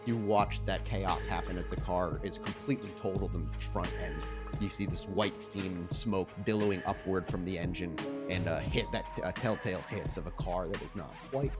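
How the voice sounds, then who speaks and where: A man's voice: sits low, moderate pace. A man working as a game master, improvising descriptive, conversational narration for a tabletop roleplaying game. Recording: background music.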